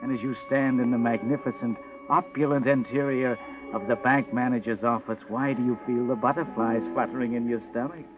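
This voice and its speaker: A man's voice: medium pitch, fairly even intonation, fairly quick, lightly acted. Male actor as sinister male storyteller, taunting, knowing, 1940s radio mystery, narrow tinny recording, dramatic music underneath. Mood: taunting, ominous. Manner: taunting, ominous, second-person address. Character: sinister all-knowing male narrator taunting a guilty man. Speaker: narrator. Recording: thin, band-limited 1940s radio recording. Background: prominent dramatic music.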